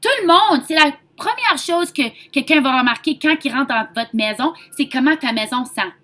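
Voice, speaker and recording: Franco-ontarian lady doing a chatty sales pitch, really high bright voice, bit of english mixed in. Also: animated, moderate pace. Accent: Franco-Ontarian French. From Ontario, Canada. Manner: chatty, informal, mixing in English words. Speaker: direct-sales consultant. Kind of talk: casual sales pitch to camera.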